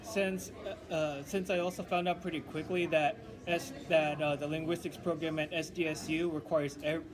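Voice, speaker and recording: A young man studying linguistics, chatting casually in a halting way with many restarts and fillers. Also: a little high, little pitch movement, a crowd in the background. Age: young adult. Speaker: linguistics student. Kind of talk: casual chat.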